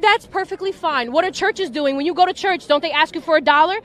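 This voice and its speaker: A woman's voice: very high-pitched, fast, fairly bright tone. An American woman answering a street interview outdoors, emphatic and insistent, repeating herself for weight. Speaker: rally attendee being interviewed. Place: outdoors in a street by a rally queue.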